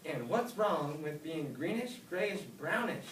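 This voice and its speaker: A man's voice: a little high, very animated, slow, fairly bright tone, pitched above the performer's own voice, heavily acted. A man voicing a caring, soothing mother snail, heard from far off in an echoey room. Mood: motherly. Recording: echoey room, mic far off, faint hiss.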